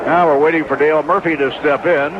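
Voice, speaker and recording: High-voiced man calling baseball on old radio. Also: fairly even intonation, fast. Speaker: baseball play-by-play announcer. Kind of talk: live radio sports commentary. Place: stadium broadcast booth. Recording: noisy, dull 1980s AM radio broadcast recording.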